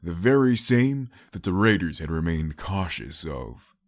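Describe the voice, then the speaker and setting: Man, deep pitch, dry recording, dramatic audiobook narration, scripted fiction. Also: very animated, a little slow, vocal fry, no room sound.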